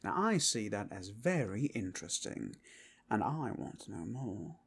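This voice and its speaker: A man's voice: fairly low pitch, big pitch swings, moderate pace, gravelly, slightly rough, heavily acted. A man voicing a cool, dangerous, inquisitive male vampire with a suave, teasing manner in a fantasy ASMR roleplay. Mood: suave, curious.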